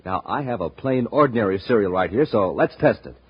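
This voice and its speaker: An American man announcing in scripted 1950s radio style, with a showman's pitch aimed at young listeners. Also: animated, brisk, slightly rough. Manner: showman's hard-sell delivery aimed at kids. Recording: dull, noisy 1950s radio recording.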